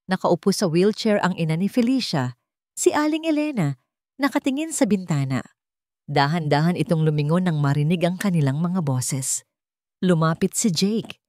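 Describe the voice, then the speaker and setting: A woman telling a dramatic love story in a slow, solemn storytelling manner. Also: low-pitched, dark tone, dry recording, studio quality. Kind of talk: scripted dramatic narration.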